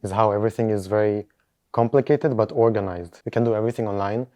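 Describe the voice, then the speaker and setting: A man in his twenties from Israel, a university student, speaking in a brisk, matter-of-fact way. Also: lowish voice, a little mellow.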